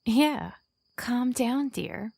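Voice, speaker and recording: A woman's voice: a little high, expressive, drawn-out words, vocal fry, darkish tone, no echo, clean studio sound, heavily acted. An actress playing a sarcastic, distrustful young woman archer in an audio drama, with an irritated, sardonic delivery.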